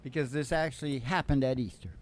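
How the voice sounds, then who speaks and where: A man's voice: medium pitch, fast talker, slight background noise. An older man hosting a radio show, telling stories comically in a rambling, folksy, irreverent way. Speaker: radio show host and singing guitarist. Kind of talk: comic storytelling and banter.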